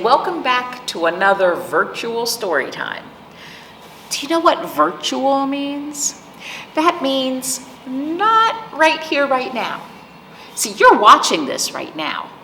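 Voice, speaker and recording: Storytime lady reading to little kids, slow and really expressive, kinda far from the mic in an echoey room w faint noise. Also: high-pitched. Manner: simple explanations and questions addressed to children. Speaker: library storytime host reading picture books. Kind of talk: animated read-aloud for young children.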